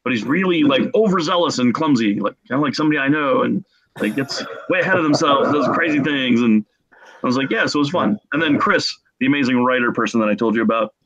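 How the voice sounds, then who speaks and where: Middle-aged guy, excitable and jokey, telling stories casually, dull lo-fi video call sound. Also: mid-range voice, fast. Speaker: podcast guest, game artist. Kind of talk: casual animated storytelling. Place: small room at home, video call.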